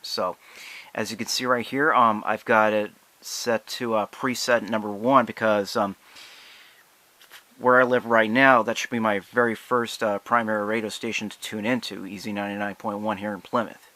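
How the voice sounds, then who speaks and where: An American man reviews a product in an unscripted, casual way. His voice sits fairly low but has a clearly bright, forward edge. He speaks haltingly, pausing and stretching things out as he demonstrates. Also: flat delivery. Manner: halting, hesitant delivery with drawn-out pauses.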